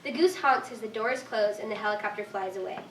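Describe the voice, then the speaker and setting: A woman's voice: medium pitch, fast talker, a bit bright. A woman reading stage directions as scripted narration at a live staged reading. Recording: echoey room, distant mic, faint noise.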